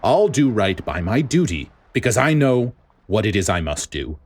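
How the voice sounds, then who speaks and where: A man performs a stern male officer from an audio story, full of righteous fury. He snarls with heavy, contemptuous emphasis, his pitch moving forcefully up and down. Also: sing-song, moderate pace. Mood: snarling contempt. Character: stern, furious male military officer obsessed with justice.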